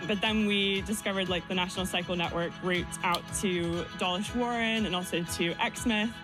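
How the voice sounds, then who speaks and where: A woman's voice: lowish voice, fairly even intonation, normal speed, over music, low-quality recording. A young woman guest in a radio interview, chatty and upbeat, laughing easily as she talks. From born in the US, raised in the Netherlands. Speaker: guest, PhD student.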